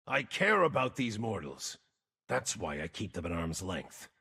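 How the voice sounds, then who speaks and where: A very husky, gravelly game mage, weary and brooding. His grim, terse lines swing widely in pitch and ring with long reverb. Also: very animated, unhurried, sharp tone, heavily acted. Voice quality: raspy, gravelly. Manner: terse, grim, theatrical delivery. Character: weary, gruff, brooding wandering mage guarding dangerous runes. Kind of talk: video game character voice lines.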